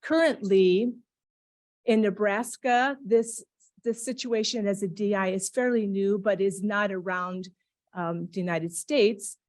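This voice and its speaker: A woman's voice: medium pitch, fairly even intonation, a little slow, a little mellow. Plain-spoken woman panelist. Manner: plain, explanatory, with fillers. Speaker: panelist describing deaf interpreting work. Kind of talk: panel talk.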